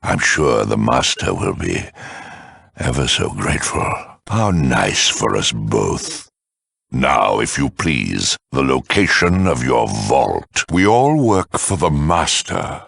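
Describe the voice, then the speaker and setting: A huge mutant lieutenant, a video game villain with an extremely low, husky growl. He is eloquent, smug and zealous, swinging theatrically in pitch as he talks down to his listener. The sound is close and dry, with an air of polite menace. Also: fairly bright tone, no echo, exaggerated voice. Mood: silky menace. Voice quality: very deep, gravelly, rasping. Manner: condescending, eloquent, slow and deliberate.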